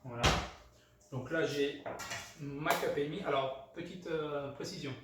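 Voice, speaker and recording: A man's voice: a little high, steady tone, unhurried. A man from France hosts a casual cooking tutorial, hesitant and full of fillers and restarts. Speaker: home cook, channel host. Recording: very echoey room, mic far off, clear background noise.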